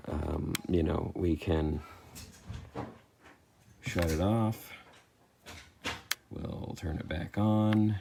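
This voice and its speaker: A man giving a casual spoken product review in an exasperated, grumbling, halting manner. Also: low voice, darkish tone. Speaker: customer reviewing a gadget. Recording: clearly noisy with clicks.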